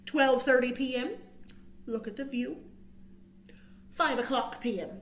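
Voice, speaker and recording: A middle-aged woman reading a picture book aloud to young children with expressive, dramatic emphasis and chatty asides, as a storytime host. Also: high-pitched, measured pace, slight background noise. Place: small indoor room. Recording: roomy, echoing indoor sound.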